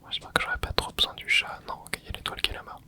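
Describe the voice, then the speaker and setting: A young man hosting an ASMR channel, softly whispering a relaxed running commentary as he plays. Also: racing. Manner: soft close whisper, relaxed running reactions. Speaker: ASMR gaming channel host.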